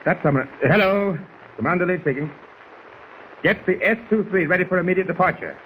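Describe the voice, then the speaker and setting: An actor playing a brisk, anxious submarine commander in a 1940s radio serial, giving clipped, authoritative orders. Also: male voice, high-pitched, narrow, tinny audio, close to an everyday voice. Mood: concerned, determined. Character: brisk, anxious middle-aged male navy submarine commander. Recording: noisy vintage 1940s radio recording.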